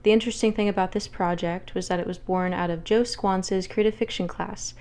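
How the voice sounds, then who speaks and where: A woman's voice: mid-range voice, steady tone, fairly quick, a little mellow. A young adult woman, a writer, conversationally introducing her own audio drama in the first person.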